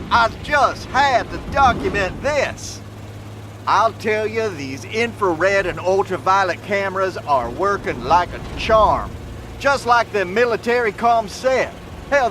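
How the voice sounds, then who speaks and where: An actor playing a cocky, boastful middle-aged survivalist talking folksily to his own camera in an audio drama, swearing freely. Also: very high voice. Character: cocky middle-aged male doomsday prepper driving an armored truck. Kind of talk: self-recorded video monologue in audio drama. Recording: noisy inside a moving vehicle. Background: vehicle engine and road noise.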